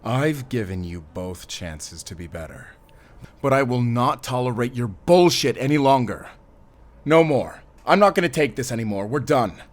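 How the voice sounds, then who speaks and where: A man's voice: mid-range voice, expressive, normal speed, lightly acted. A man playing a stern, commanding middle-aged father in an audio drama. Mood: furious. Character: wealthy stern father, protective of his son, commanding.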